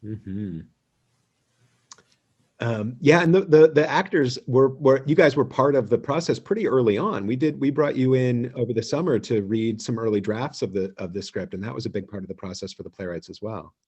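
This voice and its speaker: A man hosting an online theatre gathering, speaking informally and haltingly, pausing often as he thinks aloud. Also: mid-range voice, darkish tone, slightly rough. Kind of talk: informal speech and toast. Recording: dull, lo-fi video call audio.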